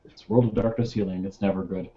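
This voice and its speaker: A man's voice: deep voice, steady tone, fast, dark tone. A man casually describing his character's actions as a player in a tabletop game. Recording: dull lo-fi audio.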